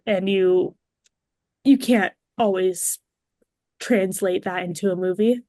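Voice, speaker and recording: A woman's voice: mid-range voice, fairly even intonation, slow pace, warm tone, no echo, studio quality. A woman chatting casually as a guest on a podcast. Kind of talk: casual chat.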